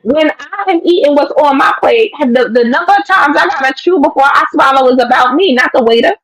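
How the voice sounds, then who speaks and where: A woman podcast co-host with a raspy voice, talking in an expressive, emphatic way in casual chat. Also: high-pitched, fairly quick, rough recording.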